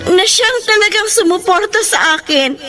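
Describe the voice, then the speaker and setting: A woman's voice: very high-pitched, animated, normal speed, sharp tone, heavily acted. An actress playing a grateful, respectful young female graduate with earnest, tender delivery in a Filipino radio drama. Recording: clear music under the voice.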